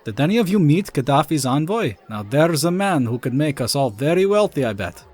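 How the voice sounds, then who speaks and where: A man's voice: a little high, very animated, brisk, darkish tone, breathy edge. A man playing a scheming, money-minded Soviet weapons scientist in a comic dub.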